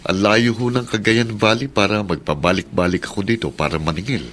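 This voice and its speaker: Dutiful adult son played by a man, Filipino radio drama. Low, bright-edged voice, polite and calming. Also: brisk, slight background noise, natural voice. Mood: polite, reassuring. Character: respectful, reassuring adult son and husband.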